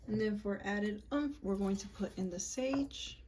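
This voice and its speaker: A young woman casually walking viewers through a love spell, chatty and halting, with frequent ums and likes. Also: medium pitch, a little mellow, a little hiss or hum. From United States, Puerto Rican and Peruvian family. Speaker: witchcraft vlogger.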